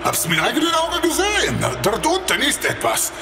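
A man playing a drunken, rambling homeless man, loud one moment and sing-song the next. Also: extremely high pitch, wildly swooping pitch, brisk, roomy sound, over music, full character voice. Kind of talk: audio drama acting.

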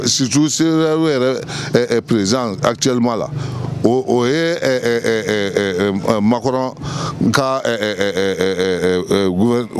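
A man's voice: medium pitch, noisy background. A middle-aged man with a Malian accent giving his opinion outdoors in a street interview, emphatic and full of hesitations, speaking into a handheld mic. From Mali. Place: outdoors in a courtyard. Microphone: handheld mic held by interviewer.

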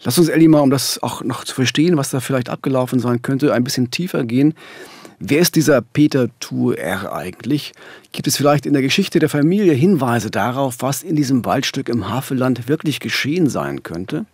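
Husky man telling true crime, dry sound. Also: medium pitch, animated, a little fast, darkish tone, no echo. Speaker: true-crime podcast co-host. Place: small radio studio. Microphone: close studio microphone.

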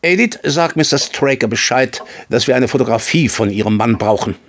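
An actor playing a commanding, sardonic colonel and racehorse owner in a German audio drama. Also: male voice, medium pitch, expressive, moderate pace, slight background noise, natural voice.

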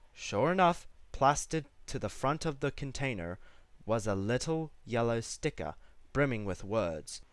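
A man narrates a story from a script. His voice is medium in pitch, and the recording is dry with hardly any room sound. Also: no room sound. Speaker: fan fiction reader.

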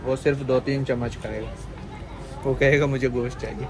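A man's voice: medium pitch, fairly even intonation, moderate pace. A man in his thirties from Pakistan, chatting upbeat to a handheld camera as a travel vlogger. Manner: chatty, upbeat guide talking straight to camera. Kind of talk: casual travel vlog narration. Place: outdoors and in a car, on the move. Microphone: handheld camera at arm's length. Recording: noisy outdoor vlog sound.

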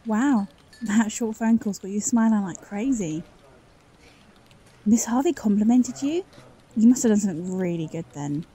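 A suspicious, insecure coworker played by a woman in a roleplay audio drama. Her voice is very dark and soft-edged at medium pitch, conversational and confiding. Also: lively intonation, moderate pace, long pauses, a little hiss or hum, natural voice. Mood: uneasy, confiding. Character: suspicious, insecure office colleague confiding quietly.

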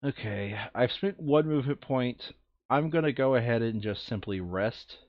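A man's voice: medium pitch, normal speed, no echo. A man playing a board game, talking through his moves and reading cards aloud in a casual, muttering way. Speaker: player in a tabletop board game playthrough. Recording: dull lo-fi close mic.

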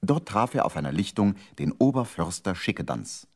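A man's voice: sits low, very animated, normal speed, heavy background noise. A man narrating a children's radio play as a scripted storyteller.

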